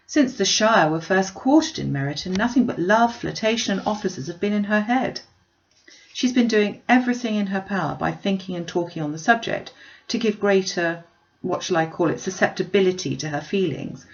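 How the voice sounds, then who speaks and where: An earnest, sharp-minded young gentlewoman from a storybook classic voiced by a woman reader. She argues emphatically with lively rises and falls. The recording is slightly muffled and lo-fi. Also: medium pitch, close to an everyday voice.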